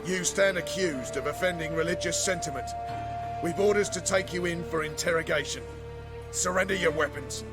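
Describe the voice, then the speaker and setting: A man's voice: on the high side, moderate pace, loud music behind the voice, lightly acted. A man as a menacing, self-righteous guard. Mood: stern. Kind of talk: video game cutscene acting.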